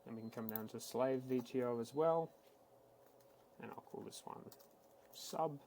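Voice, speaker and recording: A man giving a casual, matter-of-fact, step-by-step software walkthrough, speaking unscripted like a tech support instructor. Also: mid-range voice, unhurried, creaky, slight background noise. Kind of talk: screen-recorded software tutorial, unscripted walkthrough.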